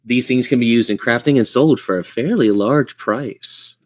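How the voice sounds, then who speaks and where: A man's voice: mid-range voice, moderate pace. A man narrating a tabletop game as game master in a casual, improvised way. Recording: dull lo-fi band-limited audio.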